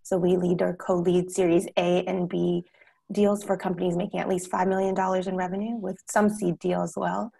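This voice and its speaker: A woman's voice: lowish voice, level tone, normal speed, gravelly, dark tone, slightly rough. A young American woman introducing herself as an investor on a panel. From Texas, United States. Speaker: panelist, growth equity investor.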